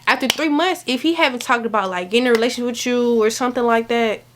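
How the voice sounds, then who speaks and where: A woman's voice: a little high, fast talker, a bit bright, a little hiss or hum. Chatty early-twenties woman giving advice. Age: early 20s. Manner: chatty, rambling, emphatic, slangy. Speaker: vlogger. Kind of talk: casual advice talk to camera. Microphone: camera mic.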